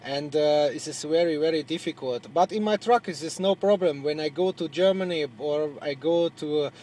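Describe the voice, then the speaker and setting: Halting Hungarian-accented man, higher-pitched, noisy radio audio. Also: darkish tone. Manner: halting non-native English, repeats words. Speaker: truck driver and amateur radio operator. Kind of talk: casual radio contact chat.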